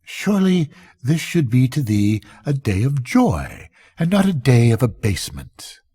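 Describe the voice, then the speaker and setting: A man's voice: mid-range voice, sing-song, unhurried, dark tone, husky, no room sound, clean studio sound, pitched above the performer's own voice. A man voicing a grave, aged, world-weary bishop in a bedtime storybook, giving solemn counsel in archaic phrases. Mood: grave wonder.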